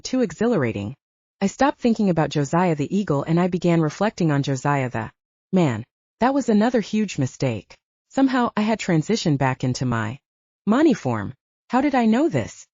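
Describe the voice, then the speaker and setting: A woman reads dramatic fiction in a low, mellow voice. Her pitch moves in lively swings as she tells the story in the first person. The recording is dry and a little dull. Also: breathy edge, no room sound. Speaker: audiobook narrator.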